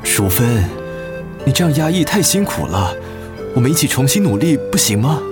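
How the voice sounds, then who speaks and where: An actor with a Taiwanese accent playing a middle-aged husband in a radio drama, earnest, gentle and apologetic as he tries to win back his wife. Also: medium pitch, big pitch swings, moderate pace, natural voice. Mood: earnest, pleading. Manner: gentle, pleading. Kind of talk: Mandarin radio drama acting. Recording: music bed under the voice.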